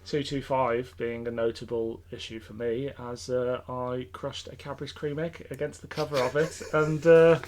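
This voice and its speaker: A man's voice: medium pitch, steady tone, moderate pace, few pauses, a little mellow, a little hiss or hum. Chatty man co-hosting a podcast. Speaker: podcast host. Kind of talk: casual chat about a TV show and its fandom.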